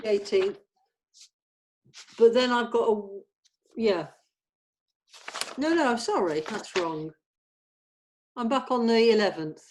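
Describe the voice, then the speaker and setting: A woman's voice: on the high side, animated, slow pace, long pauses, darkish tone, a little hiss or hum, low-quality recording. A woman chatting informally in a meeting as she helps organise a group. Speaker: production organiser at a table read. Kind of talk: casual meeting discussion.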